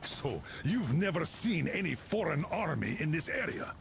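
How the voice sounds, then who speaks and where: Gravelly, commanding military officer. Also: male voice, fairly high pitch, sing-song, noisy background. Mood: questioning. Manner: brisk, authoritative briefing. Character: stern older military commander, measured and authoritative. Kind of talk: video game voice acting.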